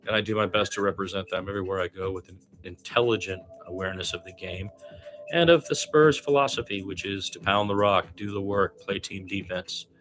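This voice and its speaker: A man giving live basketball commentary as an amateur radio announcer, animated on the plays and wry and musing in his casual, rambling asides. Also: on the low side.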